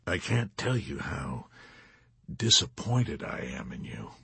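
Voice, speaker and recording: A man voicing a mournful, reproachful army major who speaks slowly and quietly. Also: very deep voice, very animated, creaky, slightly rough, no room sound, pitched below the performer's own voice, full character voice. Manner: quiet, slow, heavy lamentation.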